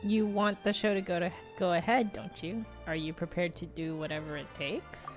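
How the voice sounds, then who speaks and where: A woman's voice: on the low side, fairly even intonation, moderate pace. Woman streaming a game over music. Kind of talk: casual game playthrough, reading dialogue choices aloud.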